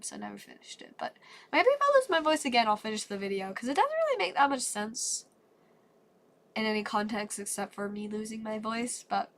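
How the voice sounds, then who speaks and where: Upbeat female streamer, lively pitch swings, slightly congested voice. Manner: chatty and upbeat, rambling asides. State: congested, voice not fully recovered. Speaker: streamer opening trading cards. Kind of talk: casual live-stream chat.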